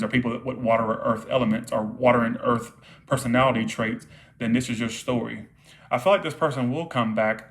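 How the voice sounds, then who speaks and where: A man at middle pitch giving a live tarot reading, repeating himself and asking "all right?" as he goes. His voice is starting to wear out from long talking. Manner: repetitive, checks in with frequent "all right?". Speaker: tarot reader.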